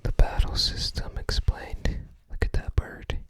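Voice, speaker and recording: An ASMR host whispering softly and breathily close to the microphone, musing casually and tentatively. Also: a little slow. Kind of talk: ASMR, casual commentary while browsing a game guide book.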